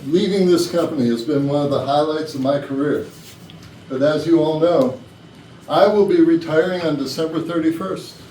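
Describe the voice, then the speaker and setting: A man playing a genial retiring chief executive, giving a measured, gracious speech in a stage play. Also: on the high side, warm tone, natural voice. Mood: gracious, formal. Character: genial, formal retiring male company CEO. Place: indoor rehearsal room. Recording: roomy rehearsal, distant mic, faint noise.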